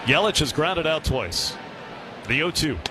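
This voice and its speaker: A man's voice: on the high side, lively intonation, measured pace, noisy background. A man doing baseball play-by-play on the radio, with clipped, descriptive calls that rise on the action. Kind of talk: radio sports commentary.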